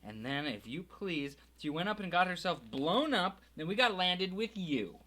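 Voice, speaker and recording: A man voicing a shrill, resentful middle-aged woman who rants on the edge of tears in an audiobook reading. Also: very animated, moderate pace, bright, forceful tone, heavily acted. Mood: shrill ranting. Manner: shrieking, ranting.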